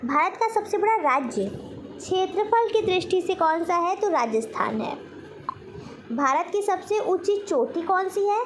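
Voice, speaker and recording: A woman teacher with an extremely high voice and an Indian accent runs through a quiz lesson for students. She poses questions and answers them herself, repeating key points. There is some faint noise in the recording. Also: lively intonation, measured pace, rough recording. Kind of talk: general knowledge quiz lesson for exam students.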